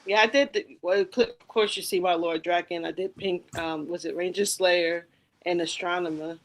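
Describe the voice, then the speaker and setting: A woman's voice: fairly low pitch, fairly even intonation, normal speed. A woman chatting casually on a live stream as a cosplayer guest, easygoing and laughing often.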